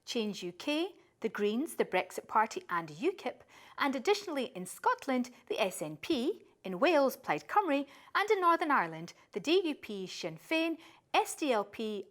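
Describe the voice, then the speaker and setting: A woman presenting a current affairs show, reading scripted introductions and messages in a measured presenter's delivery. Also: sits high, fairly bright tone.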